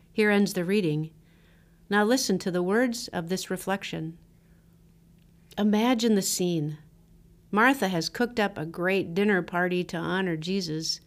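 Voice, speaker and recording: A woman pastor reads scripture and a reflection in a scripted devotional style, with measured, deliberate phrasing. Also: medium pitch.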